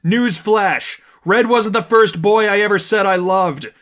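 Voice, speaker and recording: A man's voice: high-pitched, a little slow, fairly bright tone, voice pushed up. A man voicing an angry, argumentative young woman, an impassioned and condescending friend, in a dramatized story reading. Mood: angry, scornful. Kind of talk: dramatized fan fiction reading.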